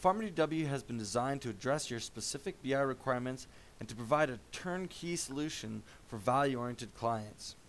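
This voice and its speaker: A man narrating a software product demo as a voice-over, in a measured explanatory tone. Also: mid-range voice, lively intonation, slight background noise.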